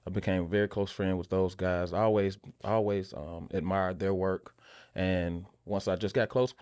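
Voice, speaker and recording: A man's voice: sits low, level tone, moderate pace, darkish tone, clean tone. A man talking casually as a guest in an interview. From Memphis, United States. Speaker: interview guest, actor and filmmaker.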